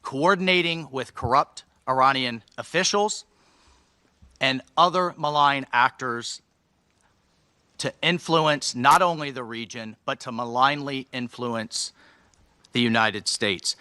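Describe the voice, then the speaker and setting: A man's voice: medium pitch, bright, forceful tone, clean tone, no echo. Man reading a formal speech, dry. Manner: measured, reading from a text. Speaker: official giving a speech.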